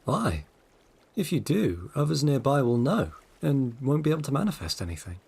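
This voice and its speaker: An actor playing a calm, assured, persuasive guide in a sci-fi audio drama, speaking in a low-key, coaxing way. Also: male voice, normal speed, vocal fry, slightly rough, exaggerated voice. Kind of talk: full-cast audio drama acting.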